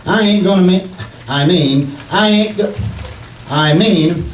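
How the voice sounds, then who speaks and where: A man's voice: high-pitched, slow. A man playing a cocky, bumbling husband with slangy, mock-pompous talk, in 1940s radio comedy style. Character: blustery, cocky, folksy husband, bad at grammar. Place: theater stage before an audience. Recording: echoey live stage, distant mic, noise.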